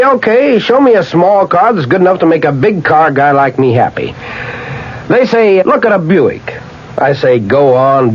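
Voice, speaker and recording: An actor playing a plainspoken, proud car owner in a 1970s radio commercial, conversational and emphatic. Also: male voice, mid-range voice, close to an everyday voice. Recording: muffled old radio with faint hiss.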